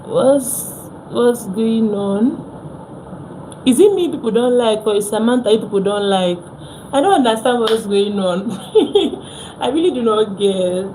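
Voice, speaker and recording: A woman's voice: on the high side, lively intonation, unhurried, warm tone, a little hiss or hum, poor audio. A young Nigerian woman with a Nigerian accent, hosting a casual phone live stream, chatting with viewers and repeating herself.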